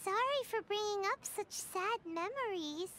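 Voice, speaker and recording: A woman voicing a tiny, childlike, outspoken fairy companion in a video game, with a squeaky, chirpy delivery. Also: animated, unhurried, bright, forceful tone, heavily acted. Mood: concerned. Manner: squeaky, refers to self in third person. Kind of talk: video game character acting. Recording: game music behind voice.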